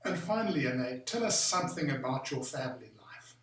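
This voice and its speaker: A man's voice: mid-range voice, sing-song, brisk, a bit bright. A man hosting a formal, courteous interview with a new colleague. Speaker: interviewer, head of the firm. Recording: very echoey room, far from the mic.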